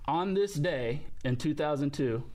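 A man's voice: fairly high pitch, expressive, measured pace, darkish tone. Guy hosting a podcast, loose and jokey, lots of ums. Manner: loose, joking, lots of fillers. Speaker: podcast host. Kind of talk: casual chat.

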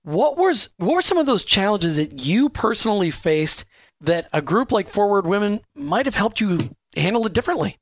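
A man hosting a faith-based radio talk show, upbeat and promotional, spelling out details slowly. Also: high voice, sing-song, no echo, clean studio sound. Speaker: radio host.

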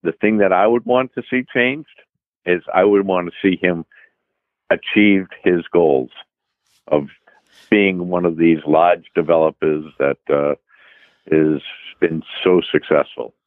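A man's voice: low-pitched, unhurried, pauses often, dry recording. A man with a Boston accent, a guest in an interview, speaking in a thoughtful, somewhat hesitant way. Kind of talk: casual interview. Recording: tinny phone line.

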